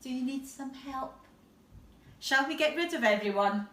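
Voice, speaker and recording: A woman's voice: high voice, normal speed, fairly bright tone. A woman, a teacher, reads a picture book to young children expressively, drawing out words and adding little asides. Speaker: teacher reading a bedtime story. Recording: roomy echo, distant microphone.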